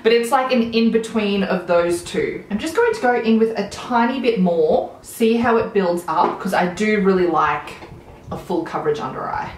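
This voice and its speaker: A woman's voice: medium pitch, moderate pace, echoey room, a little hiss or hum. A woman in her thirties with an Australian accent, chatting enthusiastically through a makeup tutorial at home. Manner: chatty, enthusiastic product commentary while applying makeup. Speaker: beauty vlogger. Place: small room at home, indoors.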